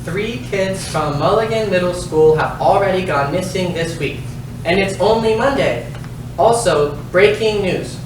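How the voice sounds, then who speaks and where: A man's voice: sits high, a little slow. Man as an earnest schoolboy, echoey room. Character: earnest, dim middle-school boy with a school newsletter. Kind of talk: comic staged play reading. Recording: echoey noisy room from far off.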